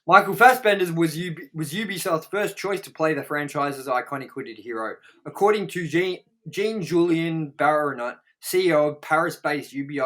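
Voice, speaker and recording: A man's voice: on the high side, a bit bright. A man hosting a casual, sweary movie review show, talking in a sarcastic, exasperated way.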